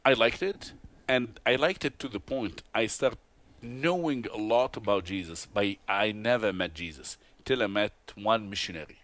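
A man from Tunisia with an Arabic accent, a radio guest telling his life story in an earnest, halting way with many pauses and restarts. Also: on the low side, a bit bright. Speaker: guest, TV host and author. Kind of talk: interview testimony. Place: convention broadcast booth.

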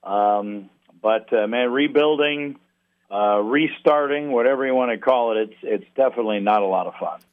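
Halting, wry man on a phone line. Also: mid-range voice. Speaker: sports play-by-play announcer, interview guest. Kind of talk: conversational interview. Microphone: telephone.

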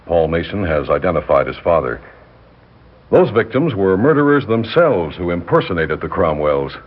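An actor playing a skeptical American police lieutenant, firm and measured, in a 1960s radio drama. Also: male voice, deep voice, big pitch swings. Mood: accusing. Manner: measured, firm, dryly insistent. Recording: dull 1960s radio recording with music.

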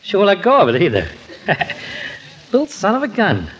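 High-voiced slangy american regular joe, 40s radio comedy, eager and lively, muffled old recording. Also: male voice, moderate pace, heavily acted. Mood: delighted, boastful. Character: brash, soft-hearted working-class regular guy named Floyd. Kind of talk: 1940s radio comedy acting. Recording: dull, hissy 1940s radio recording.

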